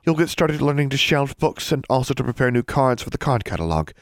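A man's voice: medium pitch, very animated, fairly quick, no echo, clean studio sound, pitched above the performer's own voice. A man voicing a prim, politely firm woman librarian in a storybook reading, giving instructions. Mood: businesslike.

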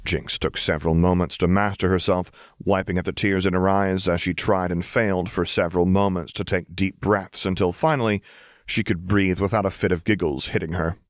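A man's voice: low-pitched, fairly even intonation, brisk, no room sound, clean studio sound. A man reading scripted fiction as an audiobook narrator.

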